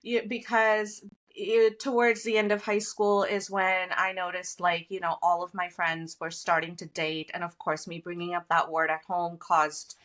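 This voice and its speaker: A woman in her forties from India, speaking as a guest in a relaxed conversation. Her voice is bright and forceful, and her delivery is animated, with frequent laughter as she tells her stories. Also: fairly low pitch, moderate pace, few pauses. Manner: animated, laughs often while telling stories. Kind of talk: casual conversation.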